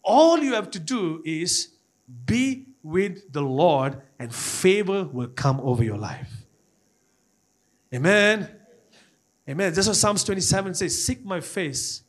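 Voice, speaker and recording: A man's voice: sits high, lively intonation, slow pace. A man from Malaysia with a Malaysian accent preaching an impassioned church sermon, repeating phrases as he urges the congregation on. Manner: repetitive, exhorting, often addressing the congregation.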